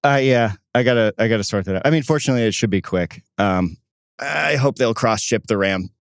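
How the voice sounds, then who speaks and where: A male co-host talks in an informal podcast manner. His voice sits a bit low, and the recording is dry with no room sound. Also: a little fast, dry recording. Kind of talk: casual podcast chat.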